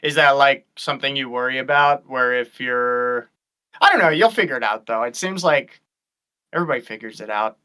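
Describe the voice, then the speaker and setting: A man's voice: mid-range voice, normal speed, sharp tone, clear, resonant tone. A man guesting on a casual chat, thinking aloud with many restarts and fillers. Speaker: guest vocalist. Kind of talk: casual chat over a stream.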